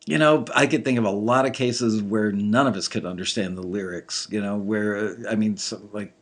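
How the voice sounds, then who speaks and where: A man with a slightly gravelly voice giving casual live commentary on listeners' songs, thinking aloud with lots of fillers. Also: lowish voice, fairly even intonation, moderate pace. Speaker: music industry reviewer critiquing listener songs.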